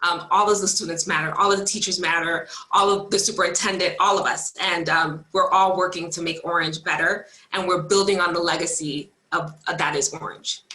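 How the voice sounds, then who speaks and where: Casting earnest woman candidate, lowish bright voice, echoey room on a video call. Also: steady tone, brisk, few pauses. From United States, daughter of Cuban immigrants. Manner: earnest, some fillers. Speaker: school board candidate. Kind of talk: closing statement, switching into Spanish.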